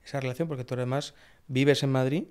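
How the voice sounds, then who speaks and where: A man's voice: mid-range voice, steady tone, fast talker, a little mellow, clear, resonant tone. A young man from Badajoz in Spain, hosting a podcast interview. He wears headphones, talks into a close studio mic and speaks in a laid-back, hesitant way with many fillers. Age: young adult. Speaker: podcast host. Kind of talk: casual interview chat. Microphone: broadcast mic on arm, wearing headphones.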